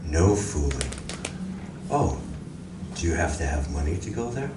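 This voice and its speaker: Radio play: man as mild, formal spaceman, low yet lilting, recorded from a distance with noticeable noise. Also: big pitch swings, exaggerated voice. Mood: gentle, curious. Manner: polite, measured, formal phrasing. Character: mild, courteous, curious male visitor from another planet. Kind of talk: stage radio drama acting. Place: community room of a public library. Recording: roomy, distant, noisy live library recording.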